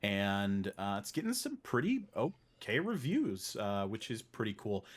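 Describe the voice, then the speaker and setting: A man with a fairly low voice hosts a casual podcast. He speaks informally and in a relaxed, conversational manner as he steers the talk. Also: measured pace. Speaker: podcast host.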